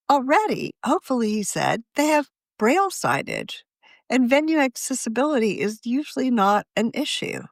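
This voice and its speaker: A woman, a magazine's deputy editor, reading her own article aloud in a measured, scripted narration. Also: fairly high pitch, no echo.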